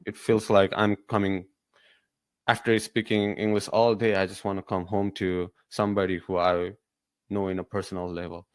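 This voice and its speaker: A man's voice: sits low, level tone, moderate pace, smooth, dry recording. A man hosting a podcast, chatting casually and asking his guest follow-up questions. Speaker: podcast host.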